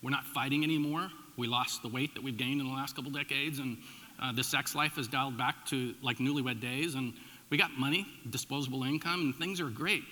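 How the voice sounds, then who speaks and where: A middle-aged American man speaks as a pastor in a big, reverberant hall. His voice is very bright and forceful, at an average male pitch. He tells stories informally, punching some phrases and letting asides drop away. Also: brisk. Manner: informal, animated storytelling with emphatic swings and dropped asides. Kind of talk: conversational sermon with personal storytelling. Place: large church room, addressing a congregation. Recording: echoey hall with long reverb.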